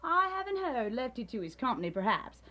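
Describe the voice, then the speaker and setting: An actress playing a callous, joking gossip in a radio drama, offhand and mocking. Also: female voice, on the high side, sing-song, a little fast, pushed and bright. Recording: dull student radio recording.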